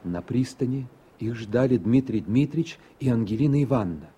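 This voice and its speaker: A man reading scripted radio drama narration in a measured, literary storytelling manner. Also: medium pitch, lively intonation, mellow, husky.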